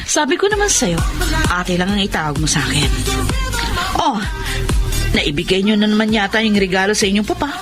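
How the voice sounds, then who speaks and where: A woman's voice: medium pitch, sing-song, normal speed, long pauses, close to an everyday voice. A woman playing a haughty, taunting, spiteful stepmother of thirty in a Tagalog radio drama. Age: 30s. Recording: loud dramatic music under dialogue.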